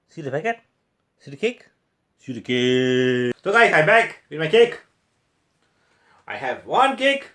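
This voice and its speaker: A young man, playful and loud, talking straight to his viewers. His voice sits high and swoops all over. It bounces around an echoey room. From Malaysia. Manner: playful and exclamatory, laughs often, talks to viewers. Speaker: food vlogger. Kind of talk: casual taste-test vlog.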